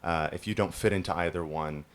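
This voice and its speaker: A man on a reading panel answers in an academic, argumentative way. His voice is very low. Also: fairly even intonation, brisk, slight background noise. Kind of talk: discursive answer. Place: event hall.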